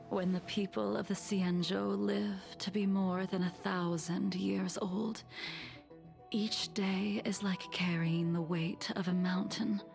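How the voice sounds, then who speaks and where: An actress voicing a calm, weary and wistful older woman in a game, speaking slowly and teasing gently. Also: medium pitch, vocal fry, faint music behind. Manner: slow, measured, gently teasing. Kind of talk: game voice acting. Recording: game score playing underneath.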